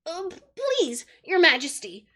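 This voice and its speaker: A woman's voice: very high voice, sing-song, unhurried, a bit bright, pitched above the performer's own voice, exaggerated voice. Woman doing a stammering frightened boy. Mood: pleading. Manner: stammering, trembling.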